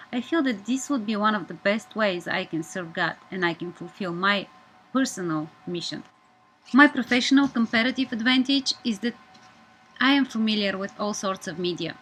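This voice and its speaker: A woman in her thirties from Bulgaria, speaking English with a Bulgarian accent, giving an earnest, measured personal presentation as a media student. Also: medium pitch, fairly bright tone, slight background noise, poor audio.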